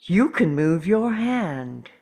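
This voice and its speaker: An actor in a script table read playing an urgent, stubborn, protective time traveller, speaking earnestly and deliberately. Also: medium pitch, sing-song, mellow, heavily acted. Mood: desperate, stubborn.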